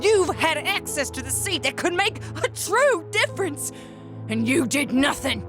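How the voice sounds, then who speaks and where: Woman acting a slow, menacing outlaw. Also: high-pitched, sing-song, over music. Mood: accusing. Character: cool, menacing female outlaw, stern and accusing. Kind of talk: theatrical western audio drama acting.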